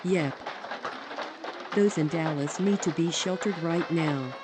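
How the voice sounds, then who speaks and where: A woman acts as a shaken TV meteorologist, sober and terse in live storm coverage. Their voice sits a little low under loud background noise. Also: takes it slowly. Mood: grave, urgent. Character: shaken female TV meteorologist warning viewers to shelter.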